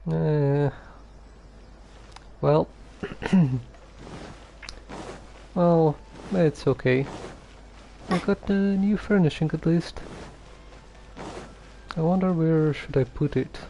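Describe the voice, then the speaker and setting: A man giving casual livestream commentary on a game, halting and musing to himself. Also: a little high, vocal fry, mellow, a little hiss or hum, low-quality recording. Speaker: game streamer.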